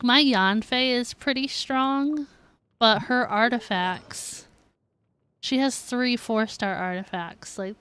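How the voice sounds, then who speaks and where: A woman's voice: on the high side, a bit bright, smooth, no room sound. A woman chatting casually to viewers as she streams a game. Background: game music.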